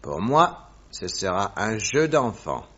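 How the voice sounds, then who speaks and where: An actor playing a suave, self-assured detective posing as a royal secretary in a French radio drama, husky, formal and courteous. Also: male voice, fairly low pitch, big pitch swings, slow, sharp tone, heavily acted. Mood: smug, confident. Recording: dull lo-fi radio recording.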